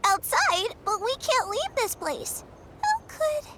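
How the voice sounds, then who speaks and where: A woman's voice: extremely high pitch, big pitch swings, takes it slowly, slight background noise, full character voice. A woman voicing a cheeky, eager young plush villager in a video game, perky and childlike. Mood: puzzled, helpful. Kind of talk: video game character acting. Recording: loud game music behind the voice.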